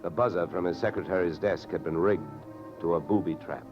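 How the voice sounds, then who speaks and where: Low-voiced hard-boiled radio detective, acted by a man. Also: fairly quick, lightly acted. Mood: dry narration. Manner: clipped, wry, matter-of-fact. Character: hard-boiled male freelance insurance investigator, wry, brisk, unflappable. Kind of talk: 1940s radio detective acting and first-person narration. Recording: dull vintage radio recording with music.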